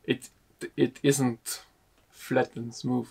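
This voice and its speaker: A young man, a sailing vlogger, explaining a boat project to camera in a slow, halting way, repeating words, seated in a wooden boat cabin. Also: medium pitch, flat delivery, gravelly, a little mellow, husky.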